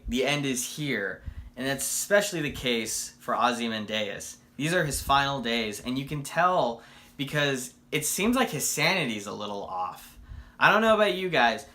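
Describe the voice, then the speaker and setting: Man, mid-pitched, bright forceful tone, enthusiastic, conversational, casual unscripted video review. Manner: enthusiastic, conversational, rhetorical questions. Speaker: comic book reviewer and video host.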